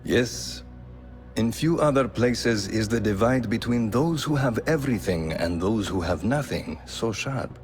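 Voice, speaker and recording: An actor playing a calm, wise male assassin mentor who speaks in a measured, grave way. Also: fairly low pitch. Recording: game audio with music.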